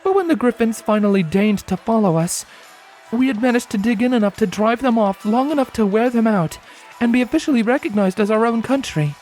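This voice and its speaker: A man's voice: very high-pitched, expressive, a little fast, a little mellow, soft background music, voice pushed up. A man voicing a guarded, protective adult mare, a pony in a fantasy story, with an earnest, firm manner. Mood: awestruck, explaining.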